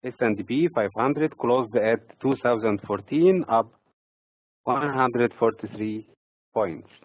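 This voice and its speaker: A man's voice: mid-range voice, slow pace, dry recording. Egyptian man on a tinny, phone-like line. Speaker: financial markets commentator and trading teacher. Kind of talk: prepared market commentary, read from notes. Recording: tinny, phone-like narrow-band audio.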